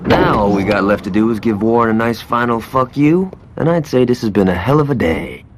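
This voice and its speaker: An actor playing a brash, sarcastic, violent gang lieutenant in a video game cutscene, foul-mouthed and cocky. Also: male voice, medium pitch, brisk, noisy background, natural voice. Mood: cocky, gleeful.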